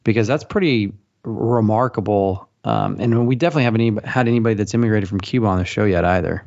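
A man hosting a podcast, asking an interview question in a relaxed, slightly halting way. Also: on the low side, creaky, highs cut off. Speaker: podcast host.

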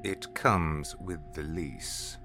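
A man voicing a sinister, calm and menacing male waiter in a horror story, hushed and sneering. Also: rumbling low, very slow, soft background music, full character voice. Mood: menacing whisper. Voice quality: hushed, whispery. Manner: calm, sneering threats that rise to shouts.

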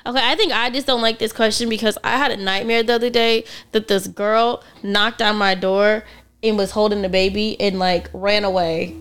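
A woman's voice: mid-range voice, steady tone, moderate pace, a bit bright, smooth. A young woman hosting a podcast, chatting casually, firing off questions and laughing often. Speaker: podcast host.

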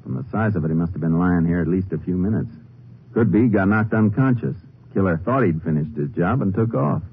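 A low-voiced Texas Ranger, calm and businesslike, sizing things up in terse, probing phrases. The sound is a muffled old radio drama with some noise. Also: male voice, brisk. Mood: deducing. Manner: clipped, matter-of-fact questioning. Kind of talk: 1950s radio drama acting.

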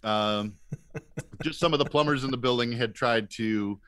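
Bright-voiced man telling funny stories. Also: medium pitch, normal speed, clear, resonant tone, no room sound. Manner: laughs often while talking, acts out shouted quotes. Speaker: comedian guest on a podcast, works in elevators. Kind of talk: casual comic storytelling.

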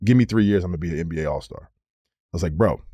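A man in his thirties, a former pro basketball player, telling stories on a podcast in a casual, animated way, repeating words as he goes. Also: low voice, very fast, slightly rough, no echo. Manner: animated storyteller, slips into quoted voices, repeats words. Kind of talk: casual storytelling.

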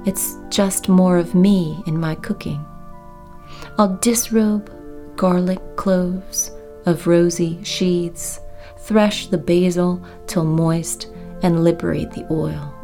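A woman poet reads her own poem expressively, savoring phrases and pausing for emphasis. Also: lowish voice, a little mellow. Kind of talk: expressive poetry reading of own work.

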